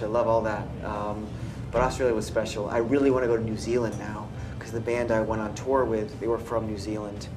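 A man chats as a musician guest in an interview. His delivery is relaxed and conversational, at an average pitch. Faint music runs underneath his voice. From United States, after early childhood abroad. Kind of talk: casual chat.

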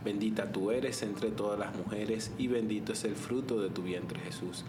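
A man's voice: fairly low pitch, normal speed. A man co-hosting a religious talk show, devoutly leading a prayer and welcoming a guest. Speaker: co-host. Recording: roomy room with background noise.

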